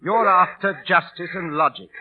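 An actor in an old radio drama playing a volatile, streetwise street performer with a rough voice and bossy, ungrammatical talk. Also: male voice, high voice, normal speed, heavy background noise, lightly acted. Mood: worldly, philosophical. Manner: bossy, emphatic, ungrammatical working-class talk. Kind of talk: 1930s-40s radio drama acting. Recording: tinny, band-limited vintage radio broadcast.

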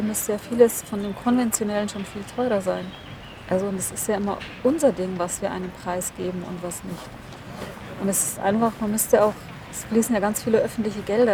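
A woman giving spontaneous, earnest explanations as an interviewed expert, in informal, loosely built sentences. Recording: clearly noisy, vehicle-like ambient rumble.

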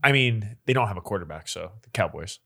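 Bright-voiced sniffly male podcaster. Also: on the low side, animated, brisk, vocal fry. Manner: jokey, easily grossed out. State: sniffly, slightly stuffed up. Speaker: podcast co-host. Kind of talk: casual chat.

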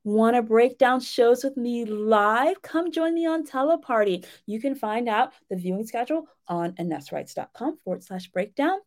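A woman's voice: a little high, normal speed, hardly any pauses, a little mellow. A woman giving a casual instructional talk as a writing coach, speaking directly and encouragingly to her listeners in a conversational way.

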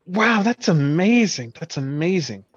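A man's voice: high voice, big pitch swings, unhurried, breathy edge, no echo, dull audio, full character voice. A man playing a condescending boss at a wizard outpost in an improvised comedy sketch, stammering and repeating himself sarcastically. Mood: sarcastic.

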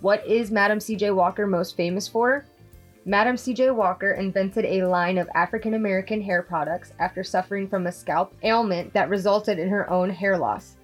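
A woman's voice: mid-range voice, normal speed, faint music behind. A woman reading scripted biographical narration aloud.

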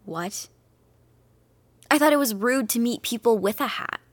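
A woman's voice: on the high side, expressive, unhurried, no room sound. An actress playing an anxious, capricious young girl in an audio drama, with a petulant, questioning and hesitant manner. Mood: sulky, argumentative. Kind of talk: naturalistic audio drama dialogue.

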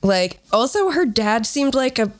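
A woman's voice: a little high, big pitch swings, unhurried. A woman hosting a podcast, telling stories in a casual, conversational way with a sardonic edge and some swearing. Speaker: podcast host.

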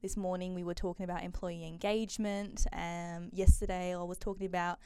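A woman's voice: fairly low pitch, level tone, a little fast, mellow, smooth, no room sound. A young woman from Malaysia, chatting as an interview guest, with frequent um and uh fillers. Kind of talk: casual interview conversation.

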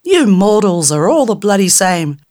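A woman's voice: mid-range voice, sing-song, moderate pace, warm tone, voice pushed up, natural voice. A woman voicing a tiny rude immortal fairy, crude and impatient. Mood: cranky, cheeky.

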